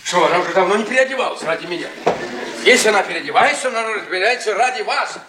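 Radio drama: mocking middle-aged academic, tipsy and bitter, high-pitched delivery, recorded at a distance. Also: male voice, lively intonation, fast talker, noisy background. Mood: sarcastic, agitated. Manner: sardonic, drawling, needling questions. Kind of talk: Russian radio theatre acting. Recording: echoey stage-like room, distant mic.

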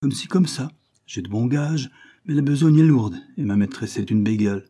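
Un homme jouant Afy, jeune femme véhémente. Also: male voice, animated, moderate pace, warm tone, lightly acted. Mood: indignant. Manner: high-pitched, vehement, indignant. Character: brash young woman, quick-tempered maid. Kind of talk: audiobook character voice.

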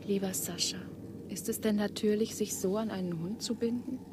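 A woman playing hushed, intense young wife. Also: medium pitch, moderate pace, heavy background noise, close to an everyday voice. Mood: urgent. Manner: intense, often hushed and whispering. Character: Eva, young wife torn between two men. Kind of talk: German radio play acting.

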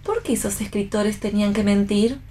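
A woman's voice: mid-range voice, normal speed, warm tone, natural voice. A woman acting a romantic, devoted wife in a radio play, with a formal, poetic way of speaking. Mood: earnest idealism. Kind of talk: staged radio play reading.

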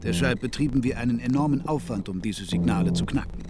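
A man reading a scripted documentary translation as a voice-over in a measured manner. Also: mid-range voice, expressive, over loud music.

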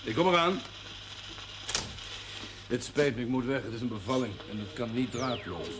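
A man playing a brisk, obliging village doctor in a television period drama. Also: medium pitch, expressive, natural voice. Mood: brisk, firm.

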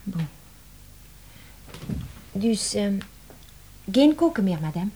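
Proud young Frenchwoman, animated. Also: medium pitch, a little hiss or hum, poor audio. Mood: defiant. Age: young adult. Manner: halting foreign phrasing with French words mixed in. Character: proud, stubborn young French secretary who loves cooking. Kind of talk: radio play acting.

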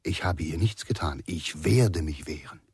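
Middle-aged guilty patriarch, radio thriller: clipped, defensive, deep, dry studio sound. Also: male voice, moderate pace, darkish tone, husky, no echo, full character voice. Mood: defensive, agitated. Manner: agitated, self-justifying, clipped. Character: guilty, defensive father fighting to protect his existence. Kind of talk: German radio crime drama acting.

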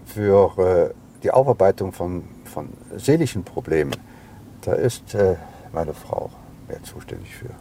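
A man in his seventies, a father being interviewed, talking in a halting, reflective, unscripted way. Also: low-pitched, lively intonation, warm tone, slight background noise. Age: 70s. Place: moving car.

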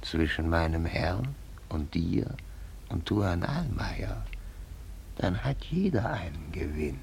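Radio play: slow, insinuating schemer, very low creaky murmur, faint rumbling noise behind. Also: male voice, animated, a little mellow, heavily acted. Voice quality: gravelly, whispery. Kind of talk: radio drama acting.

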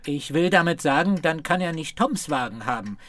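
A man's voice: fairly high pitch, animated, moderate pace, fairly bright tone, no echo. An actor playing a resigned, grumpy gas station man with a dry, curt, grumbling way of talking. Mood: wry, grumbling.

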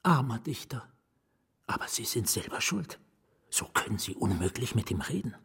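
A breathy, secretive asylum patient. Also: male voice, medium pitch, sing-song, normal speed, heavily acted. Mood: grave, conspiratorial. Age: middle-aged. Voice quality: breathy, often hushed. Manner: conspiratorial, probing, wry. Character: secretive, wry male asylum patient, a bitter novelist. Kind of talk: German radio drama acting.

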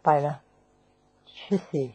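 A woman's voice: slow pace, a little mellow, no room sound, natural voice. An actress playing a cheeky, teasing young best girlfriend in a radio drama, breezy and slangy, with a Hong Kong Cantonese accent. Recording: faint music bed.

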